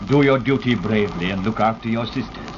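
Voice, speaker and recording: A man's voice: fairly low pitch, a little fast, slight background noise, natural voice. A man in a vintage radio play voicing Brother Jim, an earnest, protective older brother who sounds concerned. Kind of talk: 1940s radio acting. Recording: dull old radio recording.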